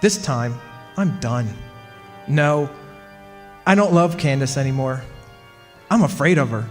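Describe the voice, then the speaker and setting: A man's voice: mid-range voice, animated, unhurried, lightly acted. A man playing a henpecked, weary history professor in an old-time radio drama, confiding hesitantly and stammering when flustered. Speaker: actor in a live radio play. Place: club hall stage. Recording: reverberant hall, music behind.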